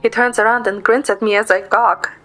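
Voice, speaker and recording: A woman voices a young woman telling her own story in an audio drama. The delivery is composed and descriptive, in a fairly high voice with music underneath. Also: steady tone, a little fast, a bit bright, close to an everyday voice, poor audio. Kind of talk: first-person scripted story narration for audio drama.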